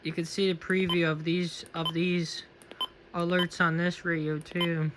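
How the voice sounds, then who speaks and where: A man's voice: on the high side, flat delivery, a little slow. A man giving a casual, unscripted product review and demonstration. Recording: faint background noise.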